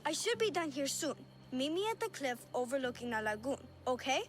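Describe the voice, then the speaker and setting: A woman's voice: high-pitched, sing-song, fairly quick, slight background noise. An actress playing an innocent, lonely little girl in a video game, earnest and playful. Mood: cheerful. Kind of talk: video game cutscene acting.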